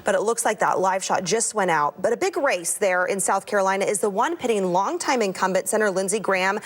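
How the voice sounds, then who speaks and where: A woman's voice: medium pitch, fairly quick. A woman with an American accent anchoring a live news broadcast.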